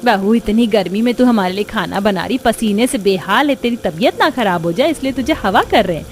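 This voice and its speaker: A woman voicing a warm, affectionate older mother-in-law of a humble household in a cartoon. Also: mid-range voice, expressive, a little fast, a little hiss or hum, close to an everyday voice. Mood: caring. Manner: affectionate, welcoming.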